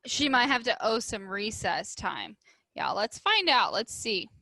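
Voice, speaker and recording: A woman teacher reading a storybook aloud expressively to children, emphasizing words and pausing to instruct and put questions to them. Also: mid-range voice, very animated, sharp tone, no echo. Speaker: elementary school teacher.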